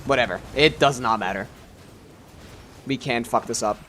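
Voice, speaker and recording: A man's voice: medium pitch, moderate pace, sharp tone, slight background noise. Offhand man streaming a game. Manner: offhand, sweary, gamer slang. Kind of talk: casual live gameplay commentary.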